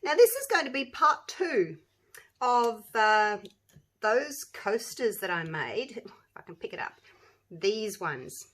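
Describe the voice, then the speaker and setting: Croaky-voiced woman, casual tutorial host, chatty and rambling. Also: on the high side, big pitch swings, slow pace, fairly bright tone, a little hiss or hum. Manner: chatty, rambling, talks to viewers while working. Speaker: resin craft channel host.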